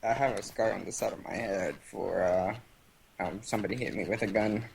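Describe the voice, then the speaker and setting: A young man from Venezuela whose first language is Spanish, telling stories in a halting casual interview with many fillers. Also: fairly low pitch, steady tone, creaky, crowd noise, rough recording. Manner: halting, lots of fillers and restarts. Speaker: guest. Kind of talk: casual interview over voice chat.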